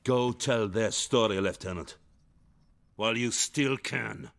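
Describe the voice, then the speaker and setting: An actor playing a stern, menacing Soviet commanding officer in a dramatic game cutscene, threatening and commanding. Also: male voice, on the low side, slow, creaky, fairly bright tone, full character voice. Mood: cold, threatening.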